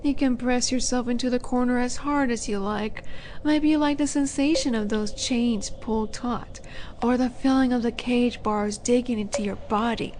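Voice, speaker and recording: A woman playing a flirty, condescending female demon in a roleplay audio drama, coaxing the listener in a purr that drops into breathy whispers. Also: fairly high pitch, fairly even intonation, moderate pace, darkish tone, slight background noise, natural voice, rough recording. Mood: coaxing, menacing. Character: flirty, teasing female demon buyer at a dark auction.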